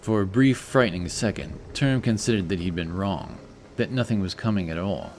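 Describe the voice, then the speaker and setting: A man's voice: low voice, expressive, moderate pace, faint music behind. A man reading a scripted fantasy story as an audiobook narrator.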